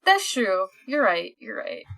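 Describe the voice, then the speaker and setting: A woman co-hosting a podcast in casual chat, talking in an animated, informal way full of "like". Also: mid-range voice, unhurried. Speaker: podcast co-host.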